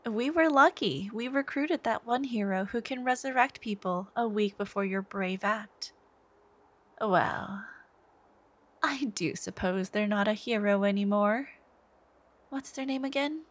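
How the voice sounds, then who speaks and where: A woman's voice: on the high side, a bit bright. A woman playing a smitten, sweetly menacing young supervillain stalker in an intimate ASMR roleplay, coy and theatrical, sometimes murmuring. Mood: smug, casual. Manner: coy, unhurried, theatrical, lapsing into breathy murmurs.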